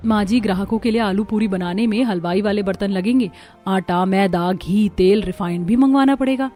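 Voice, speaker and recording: A woman's voice: medium pitch, normal speed, dark tone, close to an everyday voice. A woman voicing a hardworking, hopeful and respectful young daughter-in-law in a Hindi village cartoon. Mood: hopeful, practical. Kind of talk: Hindi moral-story cartoon dialogue. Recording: music behind the voice.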